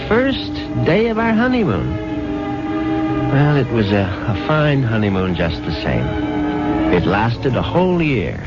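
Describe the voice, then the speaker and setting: A man's voice: mid-range voice, wildly swooping pitch, a little slow. An actor playing a wry, skeptical husband recounting his marriage in a 1970s radio drama, conversational and exasperated. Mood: wry reminiscing. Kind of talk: 1970s radio drama acting, first-person narration. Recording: dull lo-fi old radio recording. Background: music.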